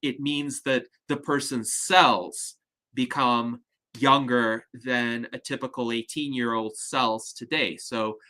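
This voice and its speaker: A man hosting an online discussion over a video call, explaining ideas in a measured, articulate way. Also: medium pitch, fairly even intonation, bright, forceful tone. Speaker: host.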